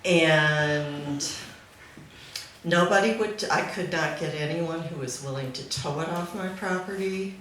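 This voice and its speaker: A woman's voice: deep voice, slow, fairly bright tone. A woman speaking at a memorial gathering, telling an informal anecdote in an amused way. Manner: amused, often laughing as she talks. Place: back room at a live gathering. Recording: very echoey room, faint noise.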